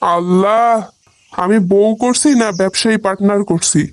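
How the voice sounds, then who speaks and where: A man's voice: high-pitched, steady tone, normal speed, smooth, no echo. A man performs an exasperated village husband from a comic cartoon, speaking with a Bangladeshi accent. He protests and tries to reason. The recording is dry, with no room sound.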